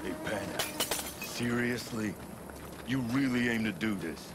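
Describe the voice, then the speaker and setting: A man's voice: on the low side, takes it slowly, background noise. An actor playing a distrustful, grumbling nomad man in a video game.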